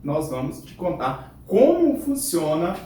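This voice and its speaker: A man hosting his channel and interviewing a guest, talking with lots of fillers. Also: slow. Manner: animated, many fillers like "é" and "né". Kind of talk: interview, enthusiastic introduction. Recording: very echoey room from far off, faint rumble.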